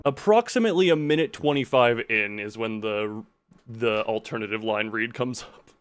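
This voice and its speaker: A man's voice: on the low side, normal speed, clear, resonant tone, faint music behind. A man chatting casually on a livestream, telling anecdotes in a rambling, chuckly way. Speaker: streamer.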